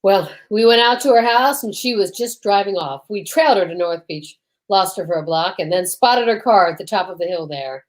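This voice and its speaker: A woman's voice: mid-range voice, lively intonation, moderate pace, close to an everyday voice. A woman playing a plain-spoken police detective and incredulous sidekick in an old-time radio mystery. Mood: questioning, matter-of-fact.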